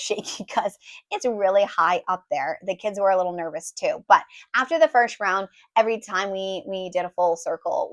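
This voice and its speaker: A woman in her thirties, hoarse as her voice recovers, chatting casually through a family travel recap with lots of ums and likes. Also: medium pitch. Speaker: travel vlogger, mother of four.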